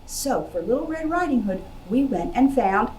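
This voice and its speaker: A woman's voice: a little high, lively intonation, moderate pace, darkish tone. A woman giving a children's library presentation, explaining things in simple words addressed to young listeners. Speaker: library outreach puppeteer and presenter. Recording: roomy echo, clear background noise.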